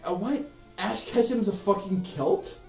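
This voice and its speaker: A man's voice: high-pitched, unhurried, darkish tone, reverberant, faint music behind. A young man, a bit drunk, giggling and reacting while his friend plays a video game. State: tipsy. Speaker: co-host. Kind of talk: drunk casual let's play banter.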